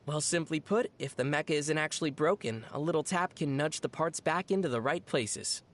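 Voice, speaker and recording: An actor playing a calm young traveler in video game dialogue, with measured explanations and a dry, deadpan, teasing wit. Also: medium pitch, lightly acted. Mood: patient, wry.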